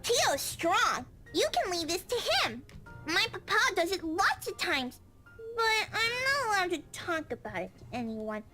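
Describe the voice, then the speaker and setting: A squeaky, bright little girl. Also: very animated, a little slow, exaggerated voice. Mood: proud, eager. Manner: childlike, eager, stumbles on long words. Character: gleeful, trusting little girl, chatty and adoring. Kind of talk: visual novel voice acting. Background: game music.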